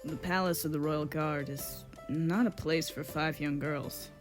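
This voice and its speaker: Courteous gentleman over clear music acted. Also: mid-range voice, steady tone, a little slow, natural voice. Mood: calm, courteous. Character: courteous, calmly authoritative gentleman creator of a painted world. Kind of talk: fan dub character acting.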